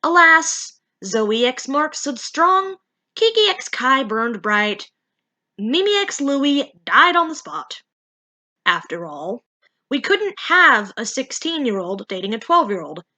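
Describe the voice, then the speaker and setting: Woman reading fanfic out loud, slow and dramatic, higher bright voice. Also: big pitch swings. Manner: theatrical, playful. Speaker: fan fiction reader and narrator. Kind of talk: expressive scripted story narration.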